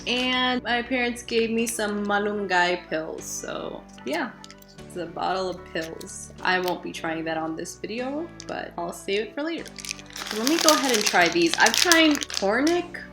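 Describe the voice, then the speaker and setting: A woman in her thirties from the United States, chatting casually as she tries and reviews snacks at home, with animated reactions. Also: medium pitch, a little slow, faint music behind. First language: English. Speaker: food vlogger. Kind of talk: casual taste-test vlog. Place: living room at home. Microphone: camera at desk distance.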